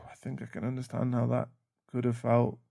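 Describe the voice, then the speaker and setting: A man playing a caring, protective boyfriend in an intimate ASMR roleplay, speaking softly and soothingly with halting pauses. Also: mid-range voice, completely flat delivery, creaky, no room sound, clean studio sound. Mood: shaken, anxious. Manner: soft, soothing, halting, sighing. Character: caring, protective, shaken boyfriend comforting a partner. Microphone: close mic.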